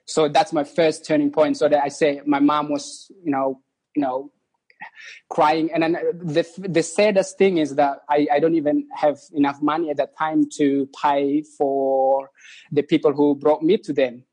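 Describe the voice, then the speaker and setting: A young man from East Timor, a guest on an interview, speaking as an in halting phrases with many restarts. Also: fairly high pitch, level tone, darkish tone. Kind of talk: casual interview.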